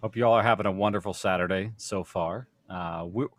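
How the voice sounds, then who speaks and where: A man talking casually and haltingly, in a jokey way, as a panelist on a video call. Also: deep voice, no echo.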